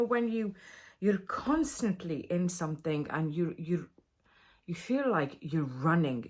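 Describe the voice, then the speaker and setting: A woman chatting confessionally to camera as a vlogger, candid, emotional and rambling. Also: on the low side. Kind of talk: confessional casual chat to camera.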